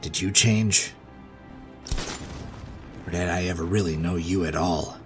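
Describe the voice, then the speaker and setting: Guy playing low brooding ex-villain, wistful and obsessive, slow dramatic pauses, eerie music behind. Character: reformed male supervillain, brooding, obsessive, wistful. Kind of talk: dramatic monologue in comic fan dub.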